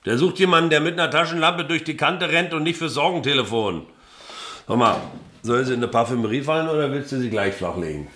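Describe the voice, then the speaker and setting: An actor playing a gruff, embittered, greying ex-army father in a radio drama, sarcastic and grumbling. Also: a little high, animated, fast talker, pushed and bright. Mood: irritated, sarcastic. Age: middle-aged. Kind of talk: German radio drama acting.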